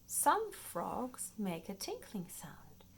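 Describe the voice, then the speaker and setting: A woman reading a children's nature book aloud in an expressive, playful storytime manner, paced for young listeners. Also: mid-range voice, very slow, in a whisper. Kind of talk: read-aloud children's nonfiction storytime narration.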